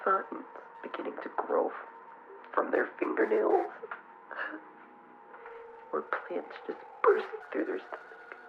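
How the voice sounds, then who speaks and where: A performer voicing a frightened young fox in an audio drama, halting and tearful, slipping between cries and whispers. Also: lightly acted. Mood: terrified, tearful. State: crying, frightened. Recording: muffled, noisy radio-style recording.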